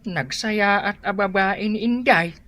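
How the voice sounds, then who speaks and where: A woman's voice: mid-range voice, a little slow, fairly bright tone, close to an everyday voice. A woman playing a gossipy, chiding old father of the family in an Ilocano radio comedy drama. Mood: approving, chiding.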